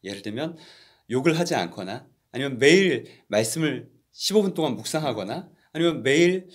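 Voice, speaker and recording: A man's voice: medium pitch, brisk, husky. Guy co-hosting a book talk podcast, earnest, voice rises and falls a lot. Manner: earnest, explanatory, lively intonation. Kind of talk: reflective book discussion.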